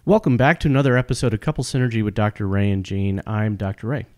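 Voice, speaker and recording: A man's voice: lowish voice, steady tone, quick pace, darkish tone, faint music behind. A middle-aged man hosting a podcast, introducing the show and its guests and putting questions to them. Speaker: podcast host, couples therapist.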